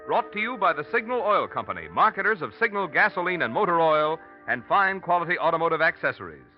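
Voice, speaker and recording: A man's voice: a little high, very animated, fast talker, faint music behind. A man announcing in formal, emphatic 1940s radio style from a script. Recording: dull vintage radio recording.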